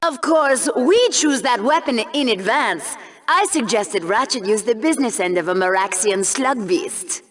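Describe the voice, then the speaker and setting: A woman's voice: on the high side, lively intonation, measured pace. An actress playing a cruel, sarcastic female game-show co-host who delivers scornful commentary in a video game. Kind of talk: scripted arena commentary.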